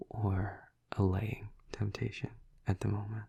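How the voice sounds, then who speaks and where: A man playing a flirty, tender vampire lover in an intimate ASMR roleplay, half-whispering in a breathy, husky voice with hesitant, teasing murmurs. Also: lowish voice, mellow, heavily acted.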